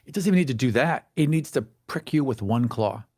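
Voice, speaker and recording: A man's voice: medium pitch, expressive, a little fast, a little mellow, breathy edge, no room sound. A man co-hosting a casual podcast, talking about monsters with emphatic enthusiasm. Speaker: podcast co-host. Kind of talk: casual chat about tabletop games.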